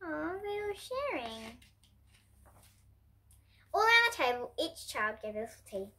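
Bright, high-pitched young girl reading aloud. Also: big pitch swings, a little slow. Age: child, about ten to twelve. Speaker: host reading picture books for kids. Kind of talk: storybook read-aloud. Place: indoor room in front of bookshelves.

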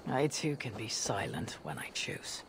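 An actress playing a confident young female Viking warrior in a game, husky, stoic and deliberate in her speech. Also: rumbling low, big pitch swings, noisy background, exaggerated voice. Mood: guarded, resolute. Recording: faint game ambience behind.

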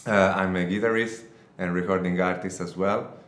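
Very deep, flat-voiced young man from Italy. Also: echoey room. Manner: brief, halting, agreeable replies with non-native phrasing. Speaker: guitarist guest. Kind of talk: casual interview. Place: small bright studio room. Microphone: studio condenser mic on stand.

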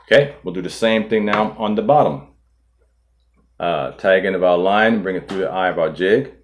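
A man in his forties, host of a fishing channel, calmly walking viewers through tying a knot step by step, filmed indoors at a table. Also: deep voice, moderate pace. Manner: calm, methodical, walks through each step. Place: indoor studio in front of a boat.

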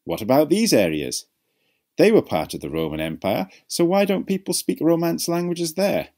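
A man's voice: fairly high pitch, very animated, moderate pace, a little mellow. A man reading scripted narration for an educational video about language, as its narrator.

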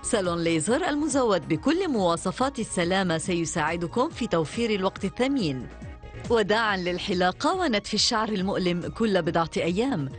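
Female voice-over for an ad, persuasive and animated, soft music under. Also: mid-range voice. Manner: persuasive, expressive sales delivery. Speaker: advertisement voice-over. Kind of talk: dubbed infomercial narration.

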